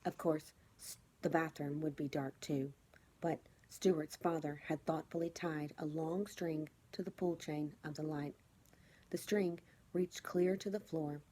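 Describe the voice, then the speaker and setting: A woman teacher reading a children's storybook aloud, phrasing it clearly and expressively for young listeners. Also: sits low, steady tone, a little slow, dark tone.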